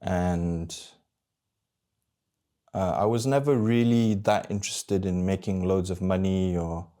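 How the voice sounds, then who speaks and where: A man in his thirties from Malaysia, talking candidly to camera about his own life, halting and searching for words as he goes. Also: sits low, steady tone, warm tone. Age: 30s. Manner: candid and introspective. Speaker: vlogger. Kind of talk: personal reflective monologue.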